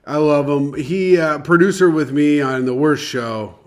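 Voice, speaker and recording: A man co-hosting a comedy podcast in a loose, teasing way, often breaking into laughter. His voice sits a little high for a man. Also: a little slow. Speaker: podcast co-host. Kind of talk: casual comedy podcast chat.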